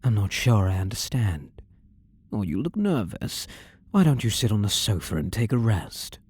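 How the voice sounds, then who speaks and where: A man's voice: on the low side, sing-song, normal speed, a little mellow, breathy edge, no echo, studio quality. A man playing a possessive demon-possessed ex-boyfriend in a scripted roleplay audio drama, condescending and teasing with coaxing endearments. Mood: coaxing, falsely gentle. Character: controlling, possessive demon-possessed ex-boyfriend, smug and menacingly tender.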